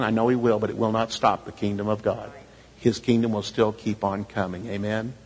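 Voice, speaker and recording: Husky preacher in a reverberant hall. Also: male voice, on the low side. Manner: exhorting, rhetorical questions, acts out imagined dialogue. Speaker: preacher leading a church leadership session. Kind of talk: conversational sermon with questions. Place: large echoing hall, speaking to a group.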